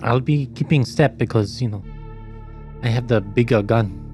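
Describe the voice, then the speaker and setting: A man's voice: medium pitch, moderate pace, darkish tone. A man playing in a tabletop role-playing podcast, talking in casual, colloquial in-character banter. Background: faint music.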